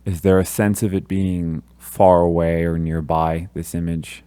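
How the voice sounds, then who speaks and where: A man leading a guided meditation, giving gentle, calm instructions with long pauses between phrases. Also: low voice, smooth, no echo.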